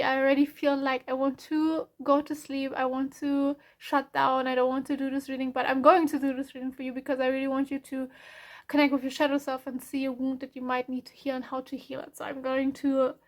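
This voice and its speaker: This is a young woman with a German accent talking through a tarot reading in English. Her voice sits high and stays fairly even in pitch. She rambles softly, full of fillers and restarts, as she talks to her viewers. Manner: soft, rambling, frequent self-corrections and fillers. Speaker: tarot reader. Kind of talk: casual spoken tarot reading.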